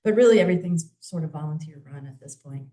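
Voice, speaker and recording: A woman's voice: sits low, animated, quick pace, dark tone. A woman giving an informal community talk and answering questions, conversational with frequent ums. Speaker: community volunteer speaker. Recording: echoey room.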